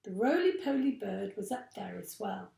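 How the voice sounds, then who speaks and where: A woman reading a children's story aloud like a teacher, with expressive, deliberate narration. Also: mid-range voice, creaky, roomy sound.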